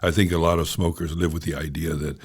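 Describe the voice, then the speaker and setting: Man, very deep voice, Texan accent, podcast host, reflective, casual. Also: level tone, quick pace, breathy edge. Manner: thoughtful, reflective, with a leisurely pace and frequent fillers. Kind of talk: casual interview.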